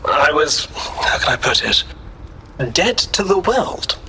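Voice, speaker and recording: A man's voice: a little high, big pitch swings, slow, pushed and bright, slight background noise, exaggerated voice. A man playing a prim, defensive robot companion in an audio drama, speaking with formal, unflappable precision. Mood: formally apologetic.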